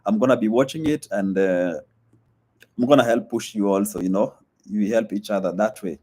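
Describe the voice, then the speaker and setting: A young man talks casually into a live stream. His voice sits on the low side, and his speech is loose, with plenty of fillers. His is Swahili. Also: moderate pace, darkish tone. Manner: loose and chatty, many fillers, reads comments aloud. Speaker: vlogger hosting a live stream. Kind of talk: casual live-stream chat reading comments.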